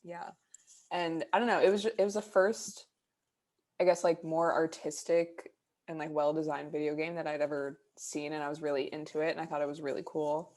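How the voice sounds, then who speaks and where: Low-voiced young woman, hesitant. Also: little pitch movement, darkish tone. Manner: hesitant, halting, many fillers. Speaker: podcast co-host. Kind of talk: casual chat. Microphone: video call.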